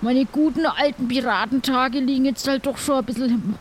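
A high-pitched, fussy pirate played by a man who rambles and grumbles through an improvised radio adventure. There is background noise around the voice. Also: moderate pace. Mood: complaining. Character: grumbling, soft pirate Kalle, fussy about catching colds. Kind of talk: improvised audio adventure play. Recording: noisy background ambience.

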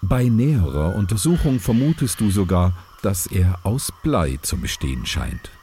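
Deep, mellow, animated male narrator. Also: moderate pace. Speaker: narrator, game master. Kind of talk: narrating an improvised audio adventure. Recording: faint background ambient noise.

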